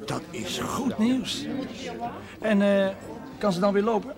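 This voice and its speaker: Chatty evasive mining guy in a tv drama, quite high voice, people murmuring behind. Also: expressive, slow pace, a crowd in the background, exaggerated voice. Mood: concerned, curious. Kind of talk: television drama acting.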